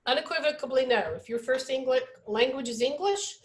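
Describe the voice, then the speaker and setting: A woman's voice: sits high, a little fast. A woman admissions advisor answering questions in a webinar over a video call, talking in an emphatic, upbeat, conversational way.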